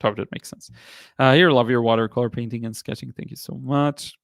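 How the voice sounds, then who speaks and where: A man in his thirties with an Israeli accent, hosting a painting livestream and answering viewer comments in a relaxed, halting way with many fillers. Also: mid-range voice, gravelly, no echo, clean studio sound. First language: Hebrew. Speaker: watercolor artist and livestream host. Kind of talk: casual livestream chat reading comments.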